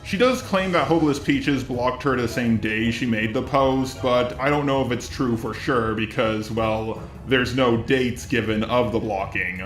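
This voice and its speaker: Low-voiced man commentating in a roomy echo. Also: measured pace, few pauses, a bit bright, faint music behind. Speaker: YouTube commentator. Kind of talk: scripted drama commentary.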